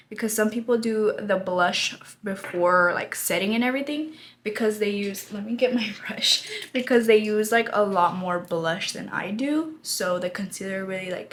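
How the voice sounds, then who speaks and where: A woman in her twenties, her voice raspy from a lost voice, chatting casually while doing her makeup, laughing often. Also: mid-range voice, a bit bright, slight background noise. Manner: chatty, rambling, laughs often, says y'all. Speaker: beauty vlogger. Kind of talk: casual get-ready-with-me chat.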